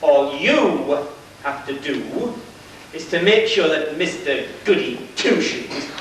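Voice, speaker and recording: A scheming, bossy villain boss, acted by a man in an amateur pantomime. The delivery is grandiloquent and theatrical, swooping widely in pitch. It is caught from far off in a very echoey hall. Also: lively intonation, slow, slight background noise. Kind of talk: amateur pantomime stage acting. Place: church pantomime stage, far camera.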